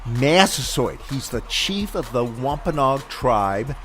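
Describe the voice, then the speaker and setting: A man's voice: medium pitch, big pitch swings, slow pace, fairly bright tone. An actor playing a pushy, patronizing male producer in a comedy sketch. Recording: faint music behind.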